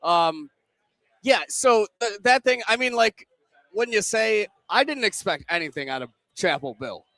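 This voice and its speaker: A man's voice: high voice, expressive, a little slow, creaky, bright, forceful tone, no echo. A young man co-hosting a sports pre-game show from a pizza pub, rambling with self-deprecating banter. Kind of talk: casual sports talk.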